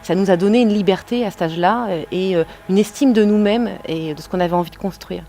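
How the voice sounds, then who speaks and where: A woman talking reflectively in an unscripted interview, pausing and hesitating as she builds her thoughts. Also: mid-range voice, warm tone.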